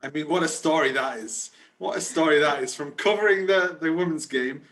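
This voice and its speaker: A man's voice: a little high, fairly quick, fairly bright tone. A man hosting an interview, introducing and questioning his guest in an upbeat, enthusiastic way. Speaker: interview host.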